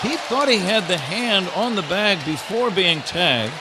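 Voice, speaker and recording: Crowd and stadium noise fill the air behind a male radio announcer. He calls baseball live from the booth in a fairly high voice, following the play pitch by pitch. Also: lively intonation, measured pace. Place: ballpark broadcast booth. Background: ballpark crowd and ambient noise.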